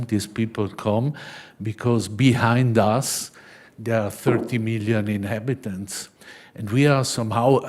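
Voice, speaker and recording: A man with an Italian accent presents at a seminar in careful, halting English. He talks at an unhurried pace in a somewhat low voice. He keeps checking in with the room by tacking "no?" onto his points. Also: darkish tone. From Switzerland. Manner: halting, searching for words, frequent tag "no?". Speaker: conference speaker.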